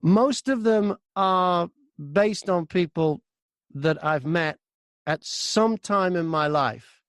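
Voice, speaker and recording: A British man speaks as a guest narrator in a relaxed interview. He is a chatty, animated storyteller. The recording is dry, with no room sound. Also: high voice, slow, clean tone, no room sound. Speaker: audiobook narrator and broadcaster.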